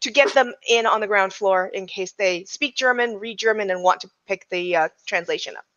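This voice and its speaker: A woman's voice: medium pitch, moderate pace, a bit bright. A young woman hosting a casual interview over a headset on a video call, her voice recovering from a minor illness. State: getting over a cold or similar. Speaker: podcast host. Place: home room, on a video call. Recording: dull, lo-fi call audio.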